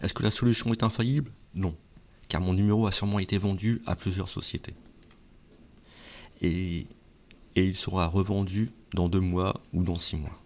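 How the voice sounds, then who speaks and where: Man, fairly low voice, video presenter, conversational explainer, question-and-answer delivery. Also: steady tone, normal speed, long pauses, a little mellow. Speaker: video presenter giving advice. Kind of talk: explainer talk to viewers, semi-scripted.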